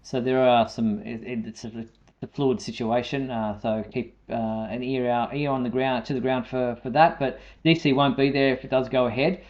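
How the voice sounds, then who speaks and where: A man hosts a live online fan show, reading out news items in a medium-pitched voice. His delivery is halting, with hesitations as he moves from one announcement to the next. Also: steady tone, a little mellow, dull audio. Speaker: show host.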